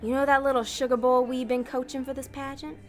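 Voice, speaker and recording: An actress plays a bossy female schemer from Hell in an audio comedy. Her voice sits a bit high, and she is brisk and persuasive, with an exasperated edge. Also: little pitch movement, faint music behind, lightly acted. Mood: manipulative. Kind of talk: comedic audio drama acting.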